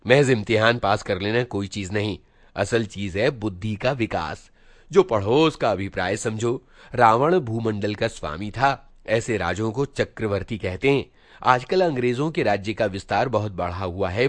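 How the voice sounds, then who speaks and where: A man's voice: medium pitch, no room sound, natural voice. A man voicing a preachy, self-important schoolboy older brother, lecturing pompously with rhetorical questions in a storybook monologue. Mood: stern preaching.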